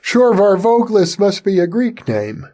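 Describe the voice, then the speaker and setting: A man hosting an interview, introducing his guest in a measured, formal way. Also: high-pitched, big pitch swings, mellow. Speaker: host, interviewer.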